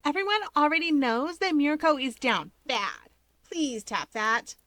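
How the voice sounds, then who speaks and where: A woman voicing an excitable, gossipy teenage girl in a story reading, gushing and emphatic. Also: high voice, unhurried, no room sound, voice pushed up. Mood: gushing, excited. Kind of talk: acted dialogue in story reading.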